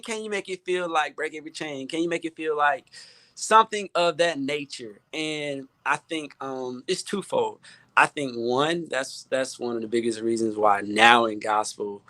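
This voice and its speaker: A man with an American accent talking casually as a guest music producer, halting and repeating words as he stresses his points. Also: medium pitch, sharp tone, dry recording. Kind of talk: casual panel talk.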